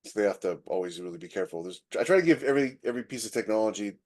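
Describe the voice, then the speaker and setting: Male co-host, fairly low pitch, informal conversational delivery, halting, thinking aloud. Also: animated, a little mellow. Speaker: podcast co-host, science fiction author. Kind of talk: casual chat about sci-fi writing.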